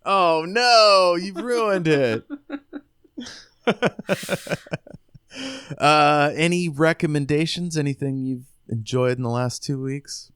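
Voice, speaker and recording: A man's voice: fairly high pitch, slow, long pauses, a bit bright, clear, resonant tone, dry recording, clean studio sound. A man co-hosting a podcast, casually discussing a book and recapping it. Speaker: podcast co-host.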